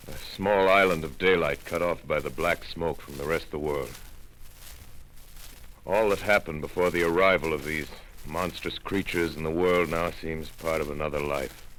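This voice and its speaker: An actor playing a shaken, middle-aged male astronomer who survives alone, speaking in a brooding, halting radio-drama monologue. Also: extremely low pitch, fairly even intonation, fairly bright tone, poor audio. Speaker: narrator of a radio play. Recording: faint hiss, old radio broadcast.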